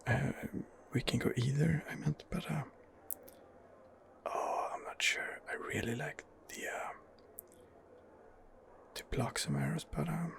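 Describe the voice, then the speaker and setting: A man whispers close to the microphone in a very creaky, gravelly voice. He comments on his role-playing game in an ASMR style, hesitating and thinking aloud as he weighs things up. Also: on the high side, slow pace, long pauses. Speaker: gamer narrating a role-playing game playthrough.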